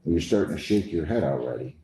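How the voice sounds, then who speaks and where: Deep-voiced, stern male judge in echoey courtroom. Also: expressive, fairly quick, warm tone. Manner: stern, firm, interrupts and repeats himself. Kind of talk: courtroom questioning and admonishing. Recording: echoey courtroom, faint background noise.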